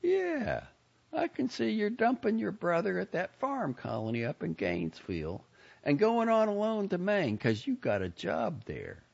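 A man's voice: high-pitched, wildly swooping pitch, a little slow, gravelly, darkish tone, breathy edge, lo-fi sound, voice pushed up, exaggerated voice. A man voicing a chatty, nosy, pious older Southern woman in an audiobook story, gushing and self-satisfied in a folksy rambling way. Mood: sure of herself. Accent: American South.

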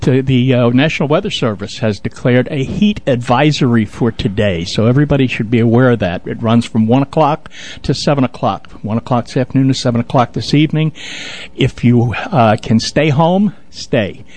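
A man co-hosting a radio show, giving news and announcements in casual, halting talk. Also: mid-range voice, darkish tone. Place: radio studio.

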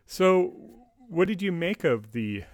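A man's voice: high-pitched, very animated, slow pace, dry recording. A middle-aged man co-hosting a podcast, chatting casually in a discussion. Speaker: podcast co-host. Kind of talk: casual discussion of a radio drama.

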